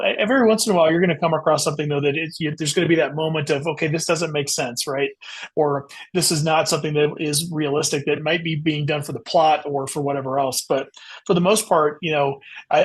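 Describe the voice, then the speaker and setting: Guy on a podcast as a guest author, kinda high husky voice, casual, stops and restarts while thinking.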